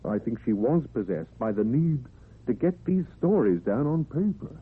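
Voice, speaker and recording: An actor playing an earnest, thoughtful doctor with a husky voice in a radio drama. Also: male voice, mid-range voice, big pitch swings, normal speed. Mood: earnest, intrigued. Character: earnest, thoughtful male doctor, a married man. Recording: narrow, muffled old radio recording.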